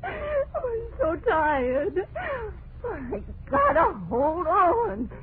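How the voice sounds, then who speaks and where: A woman's voice: very high-pitched, sing-song, very slow, full character voice. An actress playing a desperate, murderous woman hanging from a noose in melodramatic, gasping 1940s radio horror style. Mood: anguished, sobbing. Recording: tinny, noisy old radio broadcast.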